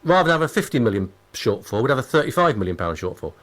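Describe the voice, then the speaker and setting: A man's voice: medium pitch, big pitch swings, very fast, a bit bright. A British man hosting a radio interview in a conversational style, repeating phrases for emphasis. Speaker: radio host.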